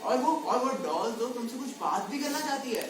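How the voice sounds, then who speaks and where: An actor playing a gentle, caring clown on stage, speaking tenderly and haltingly, doting on a young girl. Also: very high voice, expressive. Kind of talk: Hindi stage play acting. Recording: echoey hall, distant mic, music behind.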